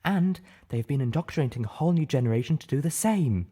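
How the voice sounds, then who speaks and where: A man's voice: on the high side, animated, fast, dark tone, husky, pitched above the performer's own voice. A man voicing a fierce, righteous female unicorn in an audiobook, outraged and emphatic as if condemning.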